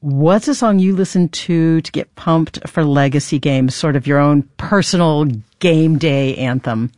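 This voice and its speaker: A woman's voice: low-pitched, a little slow, darkish tone, no echo. An American woman hosting public radio in a studio, moving between scripted narration and interview questions on a close mic. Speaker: radio host interviewing a guest. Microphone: close studio microphone.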